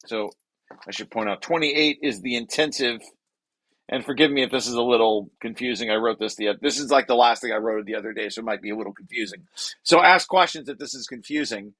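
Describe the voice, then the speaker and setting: A man reading aloud from a technical paper and explaining it informally, halting and correcting himself as he repeats words. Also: medium pitch, a bit bright, no echo, poor audio. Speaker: presenter and paper author.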